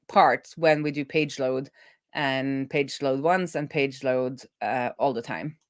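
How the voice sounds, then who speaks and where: Low-pitched woman thinking aloud while coding. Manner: thinking aloud, talking through steps to herself. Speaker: web developer live-streaming a coding session.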